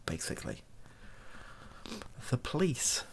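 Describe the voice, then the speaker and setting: A British man speaking softly in a rambling, hesitant, improvised opinion monologue for an online video. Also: takes it slowly, a little hiss or hum. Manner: soft-spoken, hesitant and rambling.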